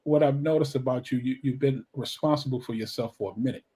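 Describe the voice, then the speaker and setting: Man, from Brooklyn, radio show host, friendly, hyped, casual chat, middle pitch. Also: quick pace, warm tone, breathy edge. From Brooklyn, New York. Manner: friendly, hyped, repeats "so now". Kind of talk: casual interview.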